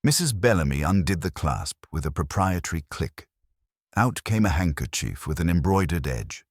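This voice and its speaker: A man narrates a literary mystery in a very deep, slightly husky voice. His delivery is measured and wry, with lively movement in pitch and a close, dry sound. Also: dry recording. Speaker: audiobook-style narrator.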